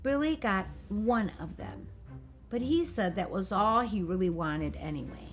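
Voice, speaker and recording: A woman's voice: mid-range voice, lively intonation, measured pace. Woman reading a children's storybook over music. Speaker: storyteller reading a picture book aloud. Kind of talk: expressive children's storybook narration.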